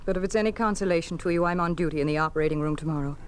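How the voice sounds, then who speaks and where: A woman's voice: lowish voice, rapid-fire, natural voice. An American woman acting an overworked, desperate yet dutiful nurse in a 1950s radio drama, earnest and urgent. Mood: resigned, dutiful. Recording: noisy old 1950s radio recording.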